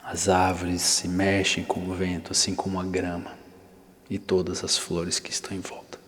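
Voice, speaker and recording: A Brazilian man narrating an ASMR guided visualization, calm and instructing, with long pauses between phrases. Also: deep voice. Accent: Brazilian Portuguese. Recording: long reverberant hall-like echo.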